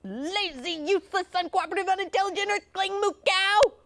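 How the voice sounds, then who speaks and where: A woman voicing a boastful, commanding alien space cowboy from a children's storybook, announcing loudly and theatrically. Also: high-pitched, sing-song, slow, full character voice. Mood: exasperated.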